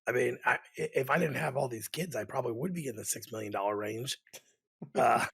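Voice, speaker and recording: A man co-hosts a casual gaming podcast while hoarse from a cold. His voice is gravelly and raspy, and he stumbles through halting restarts. He is recorded close on a desk condenser mic. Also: mid-range voice, fairly bright tone. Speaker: podcast co-host.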